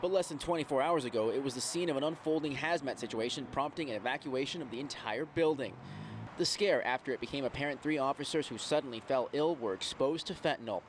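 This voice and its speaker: A man reporting live for TV news with a polished, emphatic delivery. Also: medium pitch. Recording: faint outdoor street and traffic noise.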